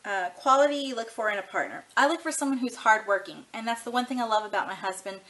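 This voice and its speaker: A woman's voice: on the high side, fast, fairly bright tone. A woman in her thirties chatting casually to camera for a tag video, rambling and frank with lots of ums and you-knows. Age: 30s. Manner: easygoing and frank. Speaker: vlogger doing a tag video.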